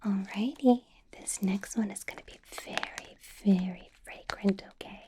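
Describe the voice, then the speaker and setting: A woman's voice: medium pitch, steady tone, measured pace, close to an everyday voice. A woman whispering as a possessive, menacing female vampire boss in an ASMR roleplay, sweet on the surface with a threatening edge. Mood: sweetly menacing. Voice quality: soft unvoiced whisper.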